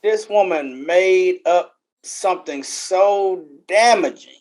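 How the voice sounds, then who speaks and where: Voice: mid-range voice, slow pace, a little mellow. An American podcast co-host giving opinions in casual panel talk, speaking emphatically and often repeating phrases for weight.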